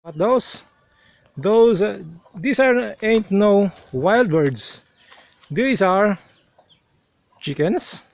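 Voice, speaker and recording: Slow-talking Filipino man outdoors. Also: sits high, animated, dry recording. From Philippines. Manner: explanatory, unhurried, with restarts. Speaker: travel vlogger. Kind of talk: outdoor travel vlog narration. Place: outdoors on a hilltop shrine.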